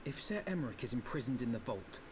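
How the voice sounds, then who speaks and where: An actor playing an earnest, loyal ally in a video game, speaking formally and with resolute urgency. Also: male voice, medium pitch, very animated, quick pace, noisy background, close to an everyday voice. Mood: earnest resolve. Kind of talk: video game drama voice acting.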